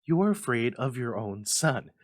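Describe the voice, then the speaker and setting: A man plays a desperate old mother in a Gothic horror tale. Her voice is pitched high and loud, urgent and demanding, crying out in frantic grief. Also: big pitch swings, normal speed. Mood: frantic, pleading. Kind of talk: dramatic Gothic story reading.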